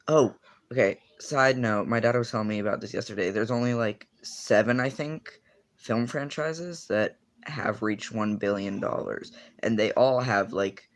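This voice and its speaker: Rambling young male streamer. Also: medium pitch. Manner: rambling, filler-heavy, self-correcting. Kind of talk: casual livestream chat reading comments.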